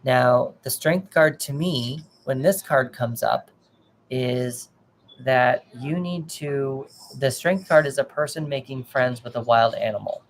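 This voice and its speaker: A man with a gravelly, fry-laden voice talks a client through her cards, gentle and reassuring with plenty of restarts. A faint hiss sits under the recording. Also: medium pitch, slow, low-quality recording. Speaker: tarot reader. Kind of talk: live tarot reading, casual advice.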